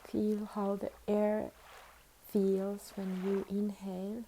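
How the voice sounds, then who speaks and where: A woman leading a guided meditation as a teacher, with soothing, unhurried instructions and long pauses. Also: mid-range voice, completely flat delivery, dark tone, slight background noise, low-quality recording.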